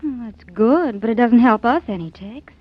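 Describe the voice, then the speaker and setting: An actress playing a teasing, two-timing young wife in a 1940s radio drama. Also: female voice, a little high, expressive, normal speed, slightly rough, no echo. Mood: coy. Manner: coy, teasing, giggly. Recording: tinny muffled old radio recording.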